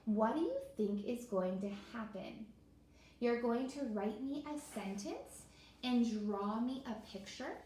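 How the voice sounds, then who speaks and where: A woman with a fairly high voice speaks as an elementary teacher guiding young children through a read-aloud. She is encouraging and often asks them questions directly. The room rings with noticeable echo, and there is slight background noise. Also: a little slow, warm tone. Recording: echoey room with faint noise.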